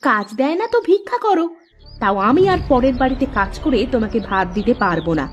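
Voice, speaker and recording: A woman's voice: sits high, sing-song, fast talker, faint music behind. A woman voicing a scolding, melodramatic village wife in a cartoon, exclaiming emphatically, in a dull lo-fi recording. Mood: angry scolding. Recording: dull lo-fi audio with faint noise.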